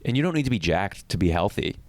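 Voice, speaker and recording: A young man co-hosting a comedy podcast, riffing in a deadpan, casual way and repeating his points. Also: on the low side, fast, dry recording. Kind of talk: casual banter.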